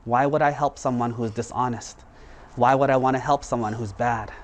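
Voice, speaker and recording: Man acting out a female water spirit, storybook tale, measured, questioning, fair-minded judge. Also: medium pitch, steady tone, natural voice. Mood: stern.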